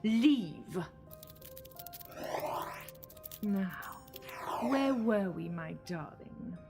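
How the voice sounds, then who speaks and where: A woman's voice: medium pitch, expressive, creaky, over loud music, full character voice. A woman playing an imperious, scheming false goddess in an audio drama, commanding and condescending. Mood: commanding menace. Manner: commanding, slow condescending endearments.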